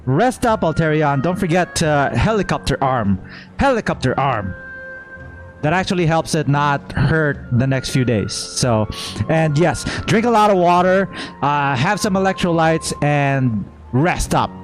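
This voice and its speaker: A man's voice: on the high side, expressive, measured pace, faint music behind. A man streaming a game with casual, playful live reaction commentary, chatting to his viewers. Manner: playful, chatty, talks to chat.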